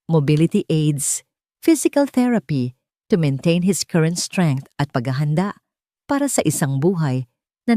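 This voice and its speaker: Calm, grave Filipino male doctor played by a female narrator, audiobook drama, clinical, firm, low register. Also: no echo, clean studio sound. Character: calm, realistic male doctor giving grim news.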